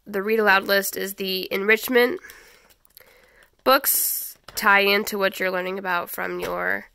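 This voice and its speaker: Stuffy-voiced woman host, crisp and dry. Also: mid-range voice, level tone, measured pace, bright, forceful tone, no echo. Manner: chatty, many ums and restarts. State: stuffy from a head cold. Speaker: homeschool channel host. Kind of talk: casual book review walkthrough. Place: small home schoolroom, indoors.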